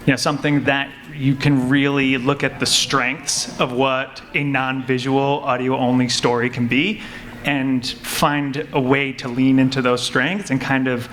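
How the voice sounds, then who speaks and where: A man speaking as a panelist, explaining his creative craft in a measured way, thinking aloud as he goes. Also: mid-range voice, level tone, fairly bright tone. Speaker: panelist, audio drama creator. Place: convention panel room. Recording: noisy live convention room.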